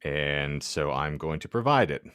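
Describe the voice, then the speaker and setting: A man's voice: very deep voice, animated, unhurried, a bit bright, smooth, dry recording, clean studio sound. A man hosting a comic podcast, talking in a theatrical, florid and wordy way. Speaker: podcast host.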